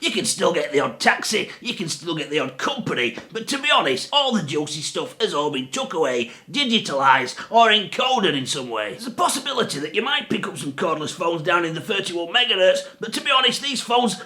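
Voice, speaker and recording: British bloke presenting, really bright forceful voice, high for a man, blunt and chatty explainer. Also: sing-song, fairly quick, few pauses. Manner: blunt, emphatic, mildly sweary. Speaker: radio show presenter. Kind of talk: informal explainer to camera. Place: home garage.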